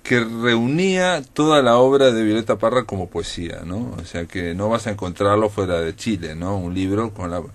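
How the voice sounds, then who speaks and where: A male radio host talks through a relaxed interview on air. His voice sits on the low side, and he rambles, filling gaps and correcting himself as he goes. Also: normal speed, hardly any pauses. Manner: rambling, many fillers and self-corrections.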